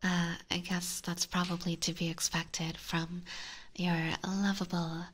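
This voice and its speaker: A woman with a Californian accent chats in a soft, breathy whisper. Her delivery is unhurried and playfully teasing, in the casual style of an ASMR streamer. She is very close to a binaural microphone. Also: lowish voice, flat delivery. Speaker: ASMR streamer playing a vampire catgirl. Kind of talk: ASMR, casual chat with live viewers. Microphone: close binaural ear microphone.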